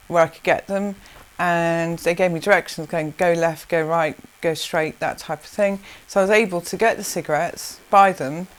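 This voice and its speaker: A woman from South Africa, answering interview questions in a rambling way with many restarts and soft laughs. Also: fairly low pitch. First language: Zulu.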